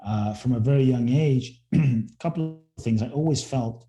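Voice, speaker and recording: A man's voice: mid-range voice, normal speed, mellow, soft background music. A man, a guest speaker telling his story in an earnest, reflective way. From born in Ghana, grew up in London. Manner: earnest, reflective, repeats words when starting sentences. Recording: roomy echo.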